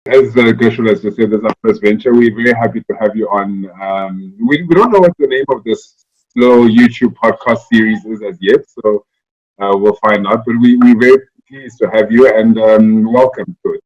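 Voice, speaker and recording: Dude co-hosting, friendly and loose, kinda low voice, dull lo-fi sound. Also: male voice, fairly even intonation, moderate pace, a little mellow. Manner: friendly, loose, hesitant with uhs. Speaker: podcast co-host.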